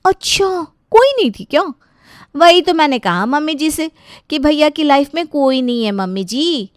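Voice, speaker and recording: A woman voicing a cheeky, chatty young bride in an animated family story, teasing and playful. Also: high voice, normal speed, dry recording, clean studio sound. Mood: teasing, sly. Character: cheeky, chatty young bride who fusses over her in-laws.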